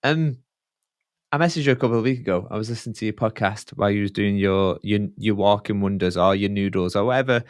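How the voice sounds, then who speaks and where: A man's voice: fairly low pitch, fast talker, no echo, clean studio sound. A man chatting casually as a podcast guest, enthusiastic and loose, with the occasional swear word. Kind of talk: casual interview chat.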